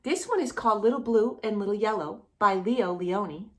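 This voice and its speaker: A woman's voice: mid-range voice, normal speed. A woman hosting a children's storytime, speaking directly to young children in an encouraging, sing-song way with lots of little questions. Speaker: host of a children's storytime channel.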